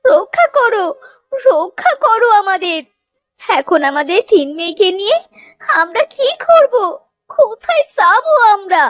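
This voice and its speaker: A woman's voice: extremely high pitch, very animated, unhurried, no echo, full character voice. A woman voicing a distraught, pleading village mother in a cartoon story, wailing and lamenting. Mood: wailing despair.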